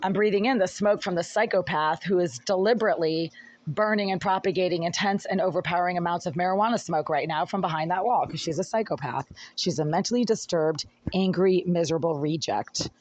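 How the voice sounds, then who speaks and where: Hoarse, aggrieved tenant; rambling self-recorded rant into a handheld phone, mid-range pitch. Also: fairly quick. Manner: aggrieved, rambling, talks while fiddling with phone. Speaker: tenant filing complaints. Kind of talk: agitated self-recorded complaint monologue. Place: apartment indoors. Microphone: phone held by speaker.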